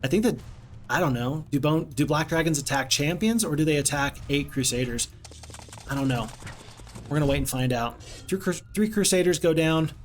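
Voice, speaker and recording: A man's voice: on the high side, animated, normal speed, soft background music. A man casually commenting on his strategy game stream, rambling and chatting to viewers. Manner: chatty, rambling, reads viewer chat aloud.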